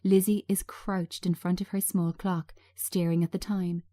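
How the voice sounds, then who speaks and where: A woman reads scripted scene descriptions as narrator. Her voice is slightly low and soft-edged, and she takes her time, measured from line to line. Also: little pitch movement. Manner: measured, present-tense scene reading.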